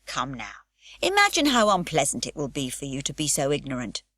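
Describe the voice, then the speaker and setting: A woman's voice: low-pitched, wildly swooping pitch, brisk, sharp tone, no room sound, studio quality, voice pushed down, heavily acted. A woman voicing a grown male policeman who lectures in a stiff, sensible way. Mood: lecturing. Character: stern grown man, a policeman.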